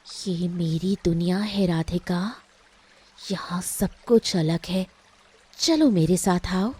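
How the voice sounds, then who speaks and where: Casting: young female ghost queen played by a woman, gentle, wronged, solemn; mellow, unhurried delivery for a cartoon fable. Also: fairly low pitch, slightly rough. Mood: soothing, inviting.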